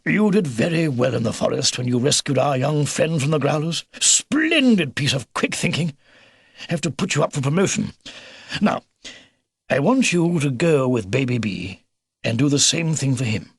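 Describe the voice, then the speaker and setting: Storybook old beaver grandpa played by a man: hearty military bluster, kindly, gruff gravel, very animated delivery. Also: mid-range voice, moderate pace, no room sound, clean studio sound, pitched above the performer's own voice. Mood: brisk, rueful. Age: older.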